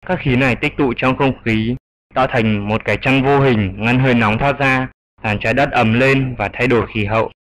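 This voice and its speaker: A man voicing an old grandfather flying fox in an educational cartoon, explaining things in a measured, didactic way. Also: mid-range voice, steady tone, a bit bright, natural voice, poor audio. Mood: instructive.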